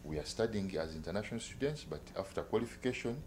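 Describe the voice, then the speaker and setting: A man from Uganda, an international student answering interview questions in a halting way with many pauses. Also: on the low side, expressive, darkish tone. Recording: noisy hum in the background.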